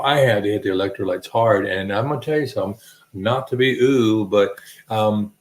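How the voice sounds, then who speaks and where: A man's voice: on the low side, roomy sound. A man hosting a casual livestream, rambling and joking while he hums to himself and searches for things. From Alabama. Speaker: livestream host.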